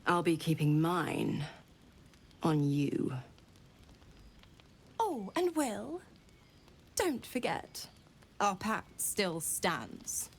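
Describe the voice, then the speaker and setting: A woman's voice: lowish voice, sing-song, very slow, pauses often, a little hiss or hum, full character voice. An actress playing a smug devil patron in a video game, mocking and theatrical in her condescension. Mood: cold, mocking. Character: taunting devil woman, a smug infernal patron. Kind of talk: dramatic video game voice acting.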